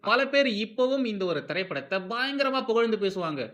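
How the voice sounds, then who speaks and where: Animated bright-voiced man, Tamil Nadu accent. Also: sits high, a little fast. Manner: emphatic, repeats phrases, asks rhetorical questions; mixes English phrases into talk. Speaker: host of a film commentary series. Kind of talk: informal opinionated video commentary.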